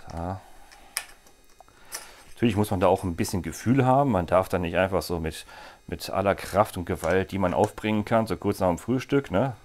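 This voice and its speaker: Deep-voiced man demoing bike gear. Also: darkish tone. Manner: chatty, jokey asides while working. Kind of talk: hands-on product demo. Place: small indoor workshop.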